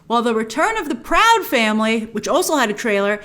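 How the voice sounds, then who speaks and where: A woman hosts an entertainment news show with enthusiastic, informal commentary. Her voice sits fairly high and swings in pitch in an animated way. She is chatty and leans hard on key words. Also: fairly quick. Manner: excitable, emphatic, chatty.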